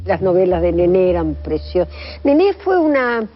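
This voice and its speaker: A woman's voice: fairly low pitch, very animated, normal speed, faint music behind. Older Argentine woman reminiscing, slightly dull sound. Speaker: interviewed former radio drama performer. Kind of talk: nostalgic reminiscing interview.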